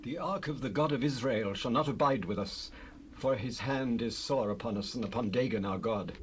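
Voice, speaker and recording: A man's voice: medium pitch, moderate pace, close to an everyday voice. Casting: male actor as worried ancient lord, middle register, Bible audio drama, light tape noise. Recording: noisy raw cassette rip.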